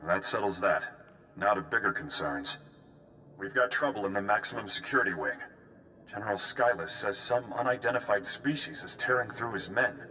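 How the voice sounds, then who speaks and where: An actor playing a brisk, stern officer in command, with terse delivery in a video game cutscene. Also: male voice, on the low side, bright, forceful tone, far from the mic, low-quality recording.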